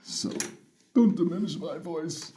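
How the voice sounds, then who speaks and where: A loud, big-voiced man hosting a casual, playful unboxing of earphones. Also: on the high side, a little slow, a little hiss or hum. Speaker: headphone reviewer. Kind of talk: casual unboxing first impressions.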